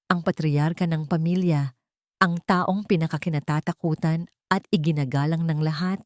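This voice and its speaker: A woman narrating a scripted audio drama in a measured, dramatic storytelling manner. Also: low-pitched, a little mellow, no echo, clean studio sound.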